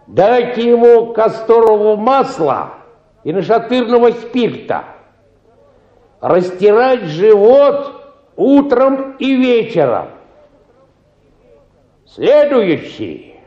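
Pompous country medic, slow but swoopy delivery, radio play in an echoey room. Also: male voice, high-pitched, sing-song, lo-fi sound, heavily acted. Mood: commanding. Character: self-important male rural medical orderly examining patients.

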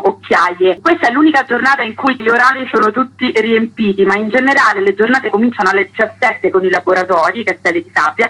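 A woman festival curator giving a radio interview, explaining a programme as a guest with a husky voice. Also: mid-range voice, sharp tone, rough recording.

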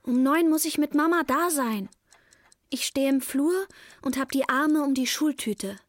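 A woman playing an earnest six-year-old daughter who narrates life with her mother in simple, measured words for a radio drama. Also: high-pitched, no echo. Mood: earnest, wondering. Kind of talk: radio drama, first-person narration and dialogue.

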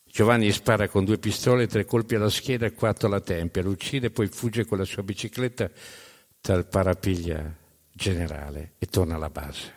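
A man narrates in a dramatic storytelling style. He breaks the tale into short, emphatic phrases with deliberate pauses. His voice rings with the long reverb of a hall. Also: low voice, darkish tone. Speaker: narrator of a storytelling stage show.

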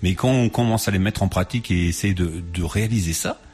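Deep-voiced jokey male radio host. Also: quick pace, darkish tone. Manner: chatty, jokes and laughs often. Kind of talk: casual radio interview.

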